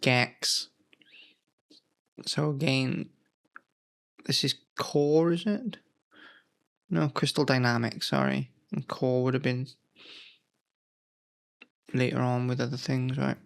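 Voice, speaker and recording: Unwell man with a gravelly, fry-laden voice, mid-pitched, leafing through old magazines and rambling to camera with plenty of self-corrections. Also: steady tone, slow, long pauses. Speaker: gaming channel host. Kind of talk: casual nostalgic commentary.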